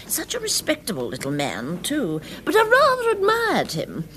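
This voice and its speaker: An actress playing a scatty, gossipy elderly duchess with an upper-class English accent in a radio drama. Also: female voice, sits high, huge pitch swings, moderate pace, a bit bright, a little hiss or hum, full character voice, poor audio. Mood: bright, gossipy. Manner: gossipy, rambling, effusive.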